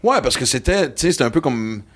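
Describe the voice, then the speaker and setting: Rapid, rambling francophone man host. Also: mid-range voice, expressive, fairly bright tone. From born in Quebec, raised in western Canada. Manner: rambling, enthusiastic, many fillers. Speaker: podcast host. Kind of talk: casual interview chat.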